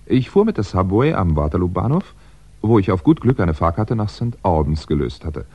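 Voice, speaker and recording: A hunted male crime novelist in a vintage German radio thriller. The voice is low and dark with a slightly husky grain, measured and wry. The recording is dull, like old mid-sixties radio. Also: very animated, full character voice. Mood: wry narrating. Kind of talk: 1960s German radio crime play acting.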